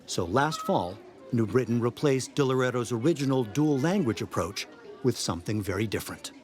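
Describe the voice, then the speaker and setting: A man's voice: mid-range voice, expressive, normal speed, darkish tone, soft background music. An American man reading scripted news narration as a correspondent.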